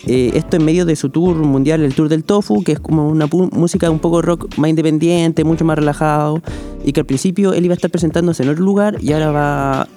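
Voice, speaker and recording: A man's voice: a little high, steady tone, quick pace, mellow. A young Chilean man, a music panelist on a chat show, recommending concerts enthusiastically with many fillers. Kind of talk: radio-style panel chat.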